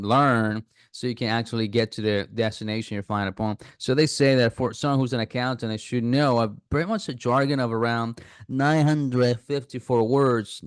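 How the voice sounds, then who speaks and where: A man's voice: mid-range voice, clear, resonant tone, dry recording, studio quality. A man casually talking viewers through signing up for an app, thinking aloud with fillers and restarts. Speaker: app reviewer. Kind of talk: casual screen-recording walkthrough.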